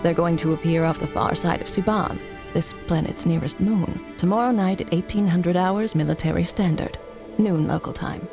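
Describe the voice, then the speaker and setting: A woman's voice: lowish voice, normal speed, over music, lightly acted. An actress playing a composed woman in a military science fiction audio drama. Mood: businesslike.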